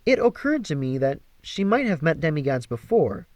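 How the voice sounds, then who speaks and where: A man reads fiction aloud with theatrical flair and a very lively melody. His voice sits a little high, and the sound is dry with hardly any room. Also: normal speed, no echo. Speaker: amateur audiobook reader. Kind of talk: expressive scripted story narration.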